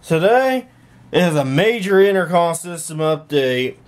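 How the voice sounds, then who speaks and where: A man's voice: a little high, animated, unhurried. A man hosting a hobby tech vlog, casually narrating a tour from behind a handheld camera in a small room at home. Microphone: handheld camera held by the speaker.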